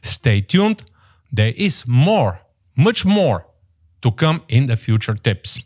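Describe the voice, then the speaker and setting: A man hosting an interview podcast, halting, with many fillers and repeated words. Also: medium pitch, very animated, a little mellow. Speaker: podcast host, realtor.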